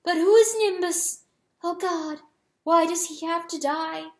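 A woman's voice: sits high, slow, a little mellow, pitched above the performer's own voice. A woman voicing a grieving, raging hen wife in a dramatic audiobook, pleading and declaiming with passion. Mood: anguished, defiant. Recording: roomy, echoing sound.

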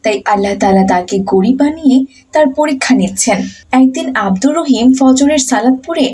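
A woman's voice: high-pitched, lively intonation, brisk, mellow, breathy edge, soft background music. A woman narrating a cartoon story in a scripted storytelling style.

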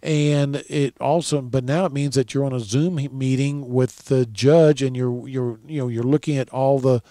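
A man's voice: mid-range voice, fairly even intonation, moderate pace, warm tone, no echo. A man hosting a law radio show, chatting casually with a colleague in a studio on a headset mic. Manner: rambling, folksy storytelling. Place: dark studio.

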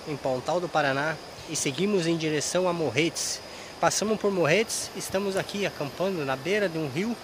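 A man, hoarse from a cold, chatting casually to viewers in a travel vlog recorded outdoors at a riverside campsite on a camera held at arm's length. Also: a little high, noisy background. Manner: chatty, addresses viewers directly. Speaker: vlogger. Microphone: action camera held at arm's length.

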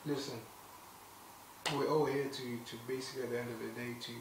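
A man's voice: mid-range voice, a little fast, recorded from a distance, background noise. Young man talking in an echoey room. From Zimbabwe. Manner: animated, many fillers and restarts. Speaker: guest, clothing brand founder. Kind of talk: casual sit-down interview. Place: indoor room, seated on a sofa. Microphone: far camera mic.

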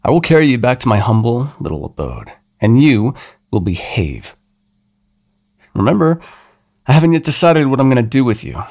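A man's voice: medium pitch, vocal fry, darkish tone. A man voicing a centuries-old male vampire in an audio roleplay, condescending and teasing with sudden threats.

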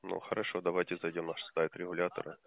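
A man's voice: low voice, monotone, quick pace, vocal fry. A man on a prank phone call, probing and pressing with question after question. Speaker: caller. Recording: tinny phone line.

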